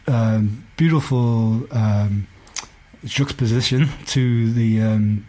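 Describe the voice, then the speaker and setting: A man in his forties with a Belfast accent and a husky voice. He tells stories in a casual, candid way as a podcast guest, peppering his talk with "you know". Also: mid-range voice, takes it slowly, warm tone, poor audio. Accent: Belfast Northern Irish. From West Belfast, Northern Ireland. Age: 40s. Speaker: podcast guest, hip-hop DJ. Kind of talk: casual conversational storytelling.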